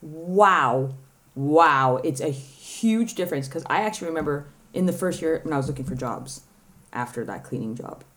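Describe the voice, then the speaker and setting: A middle-aged woman with a Canadian accent chats casually as a podcast guest, animated, blunt and prone to swearing. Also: sits low, normal speed. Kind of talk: casual chat.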